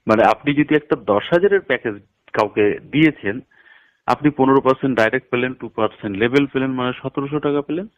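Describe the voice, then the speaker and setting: A man's voice: mid-range voice, fast talker. A man explaining a business plan step by step over a phone call, like a promoter instructing a prospect and stressing the figures. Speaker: network marketing promoter. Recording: dull, lo-fi phone call audio.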